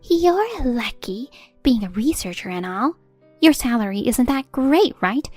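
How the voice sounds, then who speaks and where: A nagging, spiteful middle-aged mother-in-law, put on by a woman reading a story, her voice pitched high and thin and her scolding drawn out slowly. She sneers at everything. The read is dry and close, with soft music under it. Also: sing-song, no room sound. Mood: sneering.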